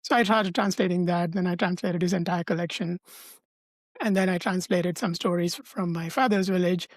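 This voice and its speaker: A middle-aged man, answering interview questions in a measured, thoughtful way over a video call. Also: high-pitched, fairly even intonation, dry recording. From Sri Lanka. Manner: measured, thoughtful, with frequent hesitations and restarts. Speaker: guest, professor and translator.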